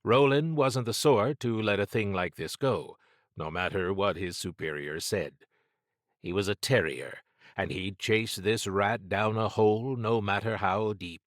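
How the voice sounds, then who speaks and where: A man narrates fiction from a script as an audiobook reader. His voice sits fairly low, and the sound is dry, with hardly any room in it. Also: measured pace, no echo.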